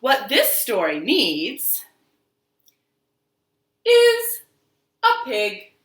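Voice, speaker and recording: A woman hosting storytime for young children, speaking in an expressive, encouraging sing-song way in a living room. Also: a little high, very slow. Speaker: children's storytime librarian host. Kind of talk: children's storytime, talking and reading aloud to young kids. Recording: roomy home living room echo.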